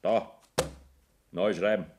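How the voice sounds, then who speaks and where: A man's voice: sits low, steady tone, takes it slowly, background noise. An actor playing a curt, grasping middle-aged father and builder who commands his daughter, with a Bavarian accent. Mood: grasping, determined. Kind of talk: dialect stage-play acting.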